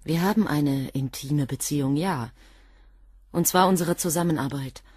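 An actress playing a cool, devoted assistant to a professor in a radio drama, answering questions in a guarded, curt way. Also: female voice, low-pitched, moderate pace, darkish tone, breathy edge, no echo, studio quality. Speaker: witness under questioning.

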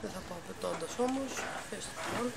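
Μια γυναίκα ξεναγεί χαλαρά σε μια κατασκευή παιχνιδιού, μιλά διστακτικά, διορθώνει τον εαυτό της και εξηγεί βήμα βήμα. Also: female voice, fairly low pitch, gravelly. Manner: halting, self-correcting, explains step by step. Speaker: game builder giving a tour. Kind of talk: casual tour of a game build. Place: dim room at home, by a computer. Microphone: phone camera filming the monitor. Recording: noisy home phone recording.

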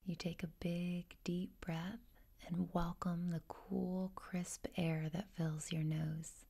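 A woman guides a meditation in a soft, mellow voice that sits on the low side. Her delivery is very slow and gentle, with a husky, whispery breathiness and a creaky edge. She gives each instruction in a soothing, unhurried way. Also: flat delivery.

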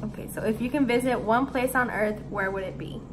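A sixteen-year-old girl with a fairly high voice, reading questions and chatting with a friend in a living room. She is relaxed and giggles often, with a noisy hiss under the recording. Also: fairly quick. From Arizona, USA. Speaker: co-host of a YouTube channel. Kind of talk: casual Q&A chat with a friend. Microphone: camera in front of two seated girls.

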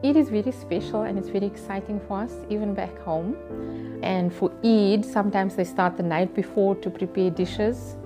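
A woman, gently explaining in an interview at home. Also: medium pitch, normal speed. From South Africa. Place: living room, indoors. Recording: music underneath.

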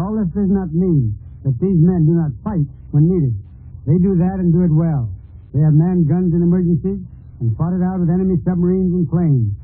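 A man delivering a formal, measured, scripted address in 1940s radio style. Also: sits high, breathy edge, no room sound. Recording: tinny, band-limited 1940s radio recording.

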